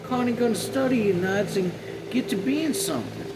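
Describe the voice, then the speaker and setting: A man's voice: sits high, moderate pace, recorded from a distance, heavy background noise, pitched above the performer's own voice, natural voice. A man voicing an earnest, nervous young country woman in a folksy rural dialect while reading a novel aloud. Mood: eager. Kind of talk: novel read aloud in character.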